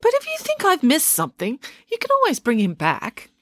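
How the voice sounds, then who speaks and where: A woman's voice: high voice, huge pitch swings, a bit bright, no room sound, studio quality, voice pushed up, exaggerated voice. Woman playing a doddering, deaf old doctor. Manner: slow, kindly, doddering. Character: very old deaf male country doctor, vague.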